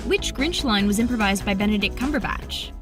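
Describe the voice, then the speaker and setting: A woman's voice: medium pitch, expressive, fairly quick. A woman narrating an entertainment video from a script. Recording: music under the voice. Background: background music.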